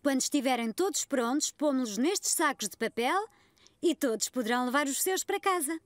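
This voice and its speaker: An actress playing an encouraging preschool teacher in a cartoon dub, with a European Portuguese accent. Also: female voice, high-pitched, expressive, a little fast, dry recording. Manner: clear, encouraging classroom tone. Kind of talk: cartoon dubbing.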